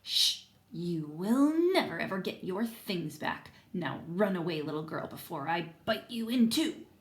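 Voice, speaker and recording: A woman's voice: fairly low pitch, lively intonation, unhurried. A woman voicing a sly, bossy male trickster dog from a children's storybook, coaxing and scheming. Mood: menacing.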